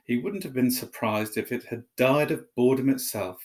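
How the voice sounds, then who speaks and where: Guy doing storytime narration, mid-range voice, expressive read aloud. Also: medium pitch, normal speed.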